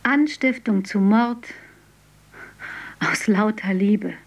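A woman's voice: medium pitch, slow pace. An actress playing a woman confessing to police in a bitter, confessional tone. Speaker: narrator testifying. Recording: distant mic.

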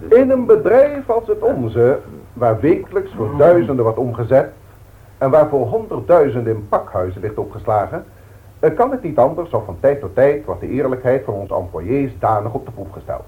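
Guy acting stuffy dutch boardroom boss, long formal speeches, very animated pitch, old thin radio recording. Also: narrow, tinny audio, lightly acted. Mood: grave, stern. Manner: pompous, measured, formal speechmaking. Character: formal, long-winded, authoritative male company director. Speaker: radio play actor. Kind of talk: 1960s radio drama acting, boardroom address.

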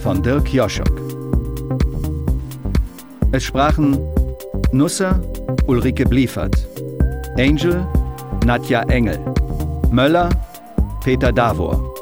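A man reading the closing credits of a radio play in a measured, formal way. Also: medium pitch, big pitch swings. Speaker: credits announcer. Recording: radio broadcast with music.

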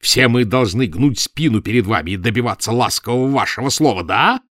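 A man voicing an irascible older professor with a gruff, blustering, scornful manner in a spoken storybook. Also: fairly low pitch, sing-song, moderate pace, a bit bright, no room sound, studio quality, heavily acted. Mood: raging. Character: irascible short bull-necked male professor, older.

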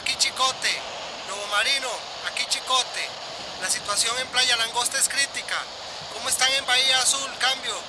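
A man's voice: very high-pitched, fairly even intonation, normal speed. A man playing an urgent emergency radio operator in a radio drama, calling in clipped phrases over a two-way radio. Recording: noisy shortwave radio transmission.

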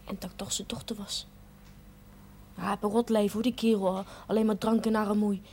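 A woman's voice: medium pitch, little pitch movement, a little fast, mellow, natural voice. A Dutch actress playing a naive, plucky pregnant girl from a poor family, candid and childlike, sometimes dropping to a whisper, in a television drama. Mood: emotional, bitter. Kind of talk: naturalistic 1970s television drama acting. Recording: faintly noisy 1970s television soundtrack.

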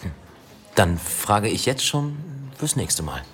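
An actor playing a wry, curious colleague in a radio drama, asking short, probing questions. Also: male voice, fairly low pitch, big pitch swings, takes it slowly, a bit bright, slight background noise, heavily acted. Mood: piqued. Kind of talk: German radio drama acting.

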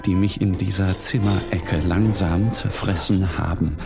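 An actor playing a tormented, morbid man who narrates a bitter literary monologue in a brooding, deliberate way. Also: low-pitched, steady tone, vocal fry. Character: tormented, morbid male narrator confessing bitterly to his shadow. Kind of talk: literary audio drama monologue. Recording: music behind the voice.

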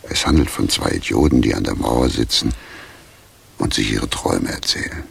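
Very deep, gravelly, mournful vagrant. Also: male voice, normal speed, exaggerated voice. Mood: melancholy. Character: shivering male vagrant, drunk-sentimental, morbid and mournful. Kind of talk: 1980s radio play acting.

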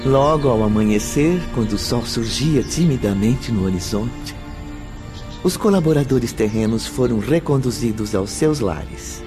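A man as a solemn Brazilian storyteller narrating a radio drama. His medium-pitched voice rises and falls widely over clear background music. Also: close to an everyday voice.

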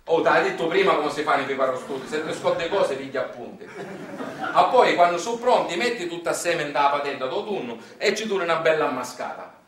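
A man's voice: on the high side, lively intonation, quick pace, fairly bright tone. An actor playing a folksy, garrulous Sicilian man in a theatrical monologue, with a colloquial, repetitive and emphatic way of talking and a Sicilian accent. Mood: instructive, animated. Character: folksy, garrulous Sicilian man explaining recipes to his son. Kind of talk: theatrical storytelling monologue in dialect-laced colloquial speech. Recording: roomy, distant stage recording with faint noise.

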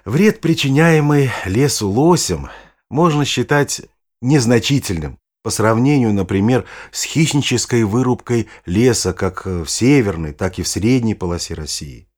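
An actor playing an older, knowledgeable naturalist grandfather explaining things patiently in an audio play, with a husky voice. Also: mid-range voice, expressive, measured pace, a little mellow. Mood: patient, explanatory.

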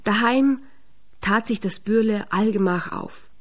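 A woman narrating a fairy tale in a radio drama, measured and expressive. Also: mid-range voice. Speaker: storyteller.

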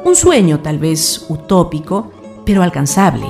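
A woman's voice: low voice, big pitch swings, measured pace, gravelly, music in the background. A woman reading scripted narration for a radio drama.

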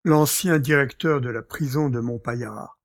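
A man playing a courteous, gossipy gentleman master in a French audio drama, speaking formally. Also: medium pitch, moderate pace, no room sound, clean studio sound, close to an everyday voice. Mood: urbane, amused.

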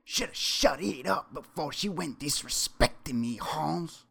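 A man's voice: a little high, expressive, unhurried, vocal fry, a bit bright, breathy edge, voice pushed up, heavily acted. A man voicing a menacing, hot-tempered male gang leader with a put-on Mexican Spanish accent, swearing and taunting. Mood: mocking.

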